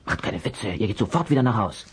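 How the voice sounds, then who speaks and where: A man playing a cautious, gruffly indulgent adult detective who admonishes children in a German radio play. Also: on the low side, expressive, quick pace. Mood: exasperated.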